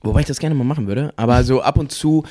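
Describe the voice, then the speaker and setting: A young man from Cologne, a guest actor in a relaxed podcast chat, talking loosely with lots of hesitant fillers and easy laughs. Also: medium pitch, quick pace, dry recording, studio quality. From Cologne, Germany. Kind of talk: casual podcast interview.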